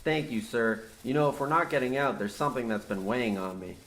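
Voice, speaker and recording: Radio play parody: man acting younger trapped coal miner, earnest and burdened by guilt, melodramatic old-radio delivery, medium pitch. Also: brisk, vocal fry, fairly bright tone, poor audio. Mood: confessional, resigned. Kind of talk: old-time radio horror comedy acting.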